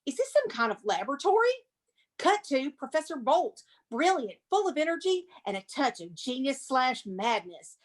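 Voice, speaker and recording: High-voiced woman narrating theatrically. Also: big pitch swings, moderate pace. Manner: dramatic, emphatic. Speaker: museum curator presenting and reading stage directions.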